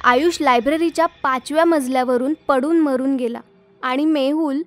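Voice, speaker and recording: A woman's voice: high-pitched, steady tone, moderate pace, faint music behind. A woman narrating a scripted horror story.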